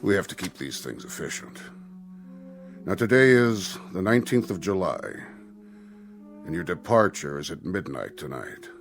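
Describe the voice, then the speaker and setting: Radio drama role: Death as polite bureaucrat, dryly patient, on the deep side. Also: male voice, animated, moderate pace, long pauses, faint music behind. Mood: officious. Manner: formal, precise, dryly patient. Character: Death as a polite, businesslike, unhurried male official.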